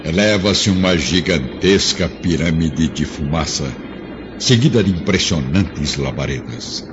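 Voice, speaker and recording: Narrador brasileiro, voz grave e enfática, estilo radionovela, gravação lo-fi com música. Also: male voice, a bass voice, expressive, a little slow, background noise. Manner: dramatic, emphatic. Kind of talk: dramatic radio drama narration. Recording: dull lo-fi sound with music. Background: music.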